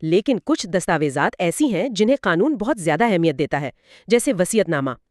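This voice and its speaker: Woman explaining law, lively and expressive pitch. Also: fairly quick, no room sound, studio quality. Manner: didactic, explains step by step with examples. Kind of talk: explanatory educational dialogue.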